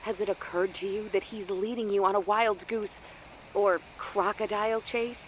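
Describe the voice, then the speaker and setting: A woman's voice: medium pitch, fairly even intonation, normal speed, slight background noise, natural voice, low-quality recording. A woman playing an insistent support partner to a hero in an audio drama, urgent and questioning. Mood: wry. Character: sharp, insistent female radio-contact sidekick.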